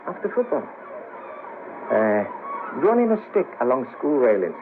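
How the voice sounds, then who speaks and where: A man's voice: medium pitch, very animated, moderate pace, natural voice. An actor playing a wry, friendly, down-on-his-luck ex band manager in a 1970s radio play, teasing and fond of sayings. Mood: amused, curious. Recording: noisy patchy 1970s radio cassette, narrow band.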